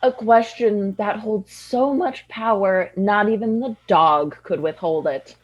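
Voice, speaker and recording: A woman's voice: mid-range voice, takes it slowly, muffled recording. A young actress playing a tipsy, dry-witted woman from a small town in a radio drama. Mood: wry, tipsy.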